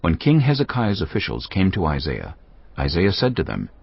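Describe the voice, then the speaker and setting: A man narrating scripture in a grave, measured way. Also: low voice, wildly swooping pitch, vocal fry, slightly rough, no room sound. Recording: dull lo-fi audio.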